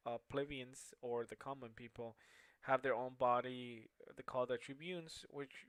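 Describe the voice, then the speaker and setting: A young man whose first language is Spanish gives a homework presentation, stopping often to restart his sentences and filling gaps with hesitant sounds. His voice sits at an ordinary pitch and is recorded dry, with no room sound behind it. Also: smooth, dry recording, low-quality recording. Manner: halting, with many fillers and restarts. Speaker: student presenter. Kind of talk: class presentation.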